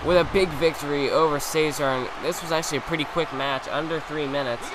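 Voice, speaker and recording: A young man calls a wrestling video game like a ringside announcer, in an excitable, rambling play-by-play. His voice sits a little high. Game sounds come through clearly beneath him. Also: fairly quick, background noise. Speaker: let's-play commentator.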